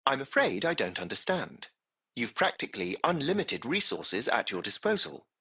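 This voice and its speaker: A man voicing a servile, impeccably polite artificial intelligence taxi driver in a video game, with formal, ornate, measured diction. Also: medium pitch, big pitch swings, gravelly, sharp tone, husky, heavily acted. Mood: politely solicitous. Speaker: AI driver and concierge.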